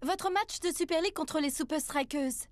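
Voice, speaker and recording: A woman's voice: sits high, normal speed, natural voice. An actress voicing an eager female TV sports reporter in a cartoon, interviewing. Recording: music behind the voice.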